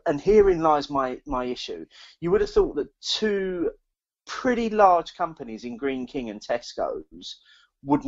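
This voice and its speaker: A man's voice: mid-range voice, highs cut off. A British man co-hosting a casual podcast chat, speaking in an opinionated, emphatic way. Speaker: podcast co-host.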